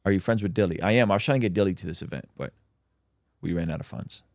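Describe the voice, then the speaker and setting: A man's voice: sits low, fast, a little mellow, no room sound, studio quality. A man, a native Russian speaker, hosting a casual livestream where he reads out chat questions and answers them with plenty of ums.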